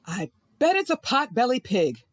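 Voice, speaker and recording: A woman playing a nosy, suspicious, rule-bound condo neighbor in a comedic audio drama, accusing and deliberate. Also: a little high, sing-song, music in the background.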